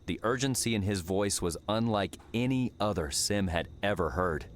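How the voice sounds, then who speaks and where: A man's voice: fairly low pitch, moderate pace. A man reading dramatic fiction as a scripted audiobook narration.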